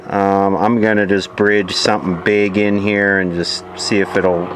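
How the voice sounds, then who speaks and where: A man giving casual, off-the-cuff repair commentary, halting as he thinks aloud while working. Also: low-pitched, little pitch movement. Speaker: repair video host, vintage electronics hobbyist. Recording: clear background noise from a workbench recording. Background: ambient noise, passing aircraft.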